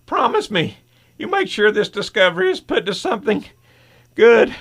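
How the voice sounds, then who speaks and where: A man playing a dying, earnest scientist in a radio superhero drama, speaking in a strained, halting, urgent way. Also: high-pitched, very animated, fairly bright tone, exaggerated voice. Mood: pleading, tender. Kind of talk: old-time radio superhero drama acting.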